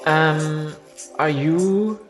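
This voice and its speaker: Loud music sits behind a small, timid child from a game, played by a man. The voice is raised in pitch, soft and hesitant, with earnest worry and non-native English. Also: drawn-out words. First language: not English. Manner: hesitant, soft-spoken, stammering. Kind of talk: amateur voiced game storyline.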